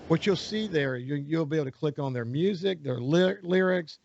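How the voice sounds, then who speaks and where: Brief: hoarse male radio host, rambling and relaxed, dull lo-fi sound with faint hiss. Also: a little high, normal speed. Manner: relaxed, rambling, self-correcting. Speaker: radio talk show host. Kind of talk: casual interview.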